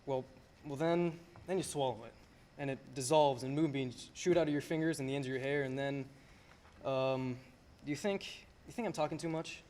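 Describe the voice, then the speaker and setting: A man's voice: mid-range voice, steady tone, moderate pace, darkish tone, a little hiss or hum, lightly acted. A young man acting an eager, teasing small-town dreamer in a radio play, boyish and stammering when flustered. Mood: eager, dreamy. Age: young adult. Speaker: student actor.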